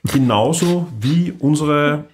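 A man's voice: a little high, takes it slowly. A man, chatting casually as a podcast host and often repeating words as he starts sentences. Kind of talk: casual chat.

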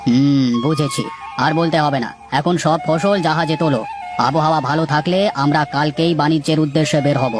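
A man voices a fair-minded, authoritative male merchant in a cartoon folk tale. He gives orders in a measured way, in a somewhat high register, over clear background music. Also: steady tone, lightly acted. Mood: commanding. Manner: commanding yet measured. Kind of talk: cartoon voice acting.

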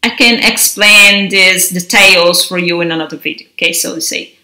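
Female teacher in her 30s, bright tone, unhurried and gentle. Recorded in a bare, echoey room. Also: lowish voice. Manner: gentle, enunciates each word slowly. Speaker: language teacher. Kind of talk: slow, careful teaching to camera. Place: large, bare indoor room. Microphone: camera mic at desk distance. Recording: roomy echo of an empty room.

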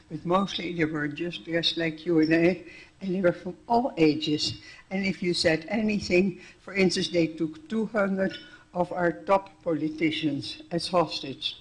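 A woman's voice: on the low side, breathy edge, rough recording. An older woman from the Netherlands with a Dutch accent, giving a plainspoken personal account to an audience. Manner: plainspoken, restarts sentences, wry. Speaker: guest speaker.

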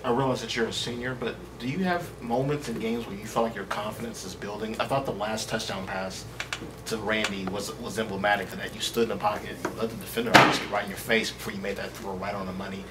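Fast-talking male reporter, distant. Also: on the low side, steady tone. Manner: long, rambling questions. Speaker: sports reporter. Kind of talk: press conference questions. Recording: distant voice with clear room noise.

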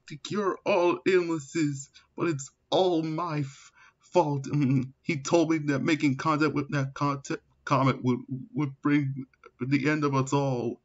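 A man playing a regretful scientist grandfather, halting and solemn, in an improvised parody dub. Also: fairly high pitch, a bit bright, dull audio. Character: regretful male scientist grandfather leaving a recorded confession.

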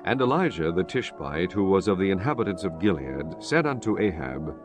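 Low-voiced man narrating scripture. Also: very animated, music in the background. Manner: solemn, measured storytelling.

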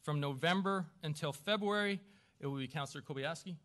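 A man speaking as mayor at a council meeting, running through formal procedure in a halting, self-deprecating way with informal asides. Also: on the high side, fairly bright tone. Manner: halting, self-deprecating, stumbling over names. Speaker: newly elected mayor chairing a council meeting. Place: council chamber with a small audience.

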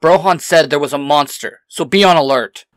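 A performer playing a brisk, practical hero ranger in an audio drama. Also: medium pitch, no room sound, natural voice. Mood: alert. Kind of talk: audio drama character acting.

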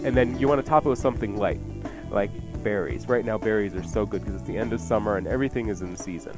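A man's voice: lowish voice, fast talker, low-quality recording. Casting: man as radio cooking host, chatty and playful while explaining, music underneath. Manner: jokey, explanatory. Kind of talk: casual cooking chat. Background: music playing behind the voice.

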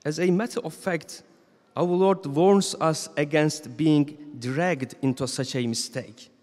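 Man, thirties, imam, slightly high voice, solemn measured sermon, long mosque-hall reverb. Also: a little mellow. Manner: measured, solemn reading with slow emphatic phrases. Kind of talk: Friday sermon read from notes. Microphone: stand microphone.

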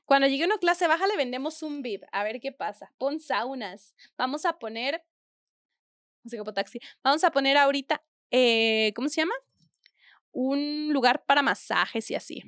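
A young woman with a Mexican accent talks her way through a game on stream, chatty and full of slang. Her voice sits high, and the sound is close and dry with no room around it. She thinks aloud as she plays. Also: moderate pace, fairly bright tone, dry recording. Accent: Mexican Spanish. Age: young adult. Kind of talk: casual gameplay commentary. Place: small indoor room at home.

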